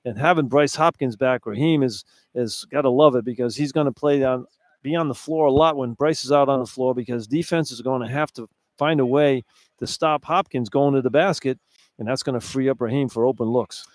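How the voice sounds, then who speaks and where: Male color commentator, conversational sports analysis, repeats points for emphasis, medium pitch, very dry sound. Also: fairly quick, dry recording.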